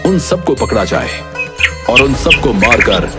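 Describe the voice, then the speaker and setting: Loud music runs behind a man playing an imperious cartoon king. His mid-pitched voice is formal and commanding, as if giving orders in a palace. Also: sing-song, a little slow.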